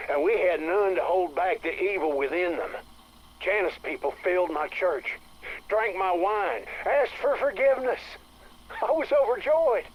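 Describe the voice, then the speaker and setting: An actor playing a fervent, solemn doomsday preacher in a video game audio log, sermonizing grandly about the end. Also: male voice, a little high, a little hiss or hum, low-quality recording. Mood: rapturous.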